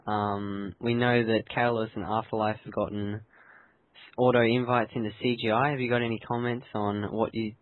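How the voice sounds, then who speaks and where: A man hosts a casual chat in a fairly low voice with little melody. He sounds compressed and tinny, as if on an internet call. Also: little pitch movement, moderate pace, no room sound. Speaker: host. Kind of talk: casual gaming discussion. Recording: narrow lo-fi voice chat.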